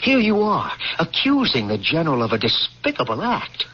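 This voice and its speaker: A man's voice: on the high side, wildly swooping pitch, moderate pace, dull audio. An actor playing an earnest newspaperman who challenges his army friend in an old-time radio drama, urging and sincere. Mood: urgent, challenging. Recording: radio drama under loud vehicle noise. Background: loud truck engine drone from a driving game.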